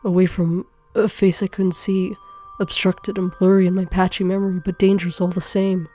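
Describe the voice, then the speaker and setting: A woman narrating a suspenseful first-person story in a dramatic, deliberate way. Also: on the low side, little pitch movement, warm tone, soft background music. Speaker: fan fiction narrator. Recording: faint background noise.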